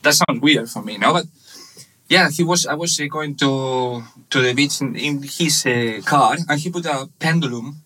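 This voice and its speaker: A man in his thirties from Spain with a Spanish accent, speaking English as a second language, telling his story haltingly in a casual interview with many fillers. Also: medium pitch, pushed and bright, husky, low-quality recording. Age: 30s. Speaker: guest, webshop manager.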